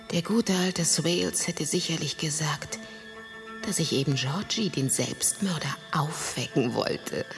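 An actress playing a dreamy, haunted young gentlewoman in a radio play, speaking in a hushed way. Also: deep voice, creaky, exaggerated voice. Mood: wry, playful. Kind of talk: German radio play acting.

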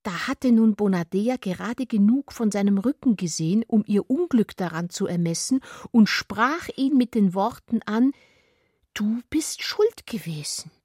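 An actress playing a wounded, defiant mistress in a literary radio drama, with a husky, breathy voice that often nears a whisper. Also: female voice, medium pitch, lively intonation, measured pace, mellow, dry recording, studio quality. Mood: reproachful. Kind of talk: literary audio drama, hushed narration and dialogue.

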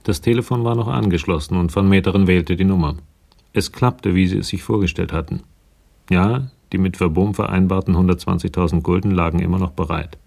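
A man reading scripted narration as the narrator of a radio crime drama, with a gravelly, husky voice. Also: low voice, fairly quick, a little mellow.

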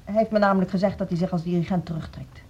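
An actress playing a composed, businesslike woman in charge of a music school meeting, measured and formal, in a radio drama. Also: fairly low pitch, fairly even intonation, darkish tone, slightly rough, muffled recording. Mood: sober, regretful. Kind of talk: naturalistic Dutch radio drama acting.

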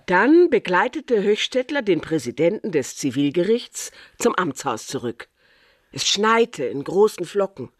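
A woman's voice: on the low side, big pitch swings, unhurried, dry recording, clean studio sound. A woman reading scripted narration for a radio crime drama.

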